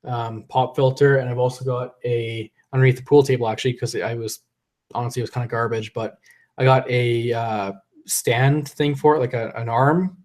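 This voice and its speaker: A man's voice: medium pitch, steady tone, moderate pace, a little mellow. Rambling tipsy man on a livestream. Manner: rambling, loose and profane, reading chat aloud. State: tipsy from drinking. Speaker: livestream host. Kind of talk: casual live stream chat. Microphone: desk USB condenser mic.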